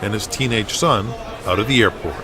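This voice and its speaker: A man's voice: fairly low pitch, brisk, a crowd in the background. A man reading scripted narration for an audio drama. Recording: music bed behind voice. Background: music.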